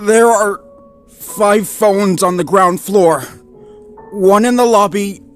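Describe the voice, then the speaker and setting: A man's voice: high voice, takes it slowly, faint music behind, voice pushed up. A man voicing an anxious, stammering male Great Dane in a storybook reading. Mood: nervous. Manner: nervous, stammering, flustered. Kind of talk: storybook character voice.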